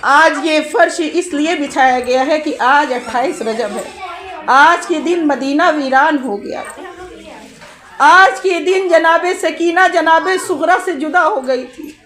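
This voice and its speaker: A woman's voice: high-pitched, slow, fairly bright tone. A woman orator delivering a Shia religious sermon in a declamatory way, using rhetorical questions and repeated phrases. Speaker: majlis orator. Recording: live gathering with crowd noise. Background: congregation crowd.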